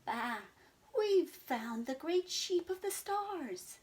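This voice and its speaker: A woman's voice: on the high side, very animated, slow, fairly bright tone, voice pushed up, exaggerated voice. A woman voicing bleating, chattering farm sheep. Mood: stubborn. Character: three chattering farm sheep, eager and stubborn.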